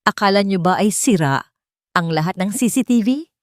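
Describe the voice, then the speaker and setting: A woman narrator acts out a betrayed son-in-law in an audio drama. He is cold and menacing, full of accusing fury, with the voice pushed up in pitch. The sound is dry and close. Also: expressive, normal speed, dry recording, lightly acted. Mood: furious accusation. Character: betrayed, furious man, a father confronting his mother-in-law.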